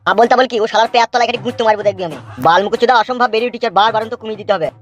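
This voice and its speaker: A man's voice: sits high, racing, a bit bright. A man voicing a boastful, threatening young village henchman in a cartoon, coarse. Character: blustering, foul-mouthed young village henchman. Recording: faint music behind the voice.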